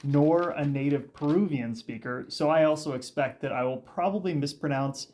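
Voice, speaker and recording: A man co-hosting a casual podcast, talking excitedly and rambling with frequent restarts and fillers. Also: on the high side, normal speed. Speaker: podcast co-host. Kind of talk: casual chat.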